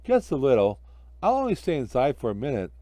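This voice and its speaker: An eager, good-natured storybook snowman, played by a man. The voice rides up and down freely, lifted a little above everyday talk, and is full of jolly exclamations and jokes. The sound is soft-edged and dry. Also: mid-range voice, wildly swooping pitch, fairly quick, dry recording. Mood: cheerful, joking. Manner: exclamatory, cheerfully joking.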